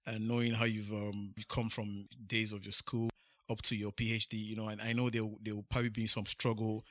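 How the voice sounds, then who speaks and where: Low-voiced male podcast host. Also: flat delivery, fairly quick, a bit bright, no room sound. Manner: talkative, leans heavily on "you know" and restarts. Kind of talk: casual podcast interview.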